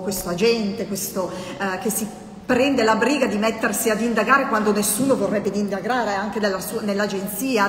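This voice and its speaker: A woman's voice: mid-range voice, fairly bright tone, a little hiss or hum. A woman hosting a bookshop talk who asks an author questions, hesitating with many fillers. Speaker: bookshop host. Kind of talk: interviewing an author. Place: bookshop room, indoors. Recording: echoey room from far off.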